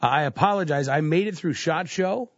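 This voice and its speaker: A man's voice: fairly high pitch, a little fast, no echo. A man hosting talk radio while congested from a cold, slightly nasal. He talks conversationally with lots of "uh" fillers. The sound is dry and a little muffled. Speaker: radio talk show host. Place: radio studio. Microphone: studio microphone.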